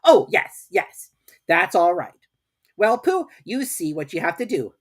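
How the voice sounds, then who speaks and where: A woman voicing a brisk, scheming male rabbit in a storybook, bossy and insistent in manner. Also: lowish voice, very animated, voice pushed up. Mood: brisk, instructing.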